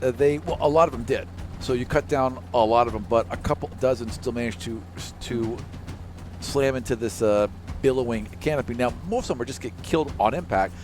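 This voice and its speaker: A man's voice: mid-range voice, a little fast, a little mellow, slight background noise. A man running a tabletop game as game master, improvising narration and chatting with his players.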